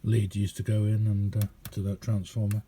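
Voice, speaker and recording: A man giving a casual, rambling hands-on demonstration as a radio hobbyist, chatty and hesitant in an unhurried way. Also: on the low side, little pitch movement, dark tone, slight background noise.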